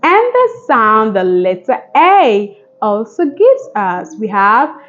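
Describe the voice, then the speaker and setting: A woman with a high voice teaches phonics to small children at a very slow pace. She is encouraging and sing-song, giving simple instructions and repeating them. The recording sounds a little dull. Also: sing-song, fairly bright tone. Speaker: phonics teacher and channel host. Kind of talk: children's phonics lesson, addressed to young viewers.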